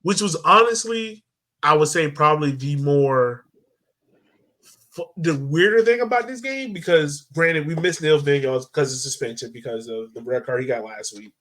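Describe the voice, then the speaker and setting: Chatty man with a higher-pitched voice. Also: lively intonation, normal speed, a bit bright. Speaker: sports podcast host. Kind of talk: casual match analysis chat.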